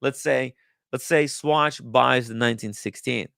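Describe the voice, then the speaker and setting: A man hosting a livestream in casual talk, haltingly thinking aloud as he goes. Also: mid-range voice, vocal fry, a bit bright, no room sound, studio quality. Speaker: livestream host. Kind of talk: casual livestream chat, reading viewer comments and riffing.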